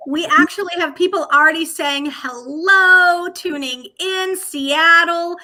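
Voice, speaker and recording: A woman's voice: very high-pitched, unhurried, sharp tone. A woman hosting a livestream on a video call, bubbly and effusive. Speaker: host.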